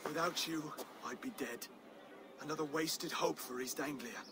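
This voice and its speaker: A man playing an earnest, nervous young king-to-be in a game cutscene, soft-spoken and humble. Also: on the high side, normal speed, noisy background, natural voice. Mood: nervous, grateful. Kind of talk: dramatic game cutscene acting.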